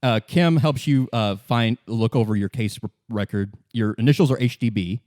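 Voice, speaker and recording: A man with a mid-range voice narrates a tabletop game as its game master. He talks casually and haltingly, backing up to rephrase, in a close, dry recording. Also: medium pitch, darkish tone, no echo. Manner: halting, restarts sentences often.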